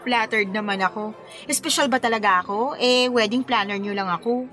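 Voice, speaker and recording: A woman's voice: a little high, a little fast, pushed and bright. An actress playing a bashful, smitten forty-year-old woman, coy and playfully flustered, in a Filipino radio romance drama. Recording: music bed under the dialogue.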